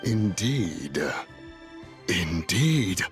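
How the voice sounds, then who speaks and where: Deep, slow immortal sage. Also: male voice, sing-song, faint music behind, heavily acted. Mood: grave, pondering. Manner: formal, deliberate. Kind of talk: anime-style video game voice acting.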